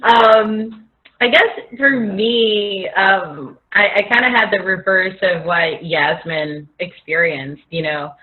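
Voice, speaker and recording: A woman's voice: medium pitch, fairly even intonation, slow. A woman from the Philippines talking casually and reflectively as a guest in a video call conversation. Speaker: guest, chef. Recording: thin phone-like video call audio.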